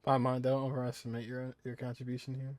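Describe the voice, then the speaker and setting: A man's voice: mid-range voice, completely flat delivery, quick pace, darkish tone, dry recording. A man hosting a gaming video, giving casual running commentary on a playthrough. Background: game music.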